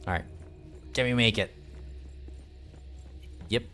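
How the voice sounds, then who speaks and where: A man's voice: medium pitch, slow, bright, forceful tone. A man giving casual, rambling livestream game commentary, chatting informally with some swearing. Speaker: game streamer. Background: game music.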